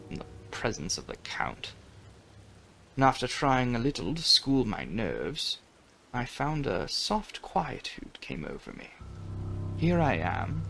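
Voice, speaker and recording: A man reads a gothic novel aloud in a low register with a gravelly creak. His delivery is theatrical and swings widely in pitch, slipping at times into a hush. Music plays clearly beneath the narration. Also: poor audio. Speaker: audiobook reader. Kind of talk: dramatic reading of a gothic novel. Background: music under the reading.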